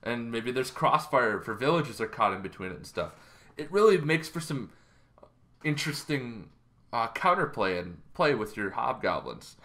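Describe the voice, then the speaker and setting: A man in his thirties explaining things casually as a show host, in an informal, halting way. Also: mid-range voice, big pitch swings, bright, forceful tone. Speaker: host of a tabletop roleplaying lore show. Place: bedroom, indoors.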